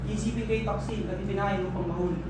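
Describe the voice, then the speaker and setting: A woman's voice: mid-range voice, fairly even intonation, very fast, close to an everyday voice. A female student playing an urgent, embittered rebel in a stage play. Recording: echoey hall, far mic, loud noise.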